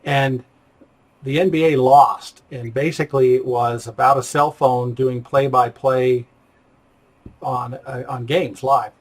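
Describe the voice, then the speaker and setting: A man's voice: mid-range voice, fairly even intonation, slow pace. A man hosting an interview in a casual, conversational way, thinking aloud as he explains. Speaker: interviewer, host.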